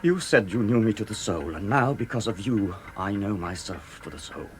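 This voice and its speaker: A man's voice: lowish voice, animated, moderate pace, a little hiss or hum. An actor playing a tormented, guilty murderer in his thirties, anguished yet defiant, speaking earnestly and declamatorily in a radio drama. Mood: despairing resolve. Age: 30s. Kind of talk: dramatic British radio play acting.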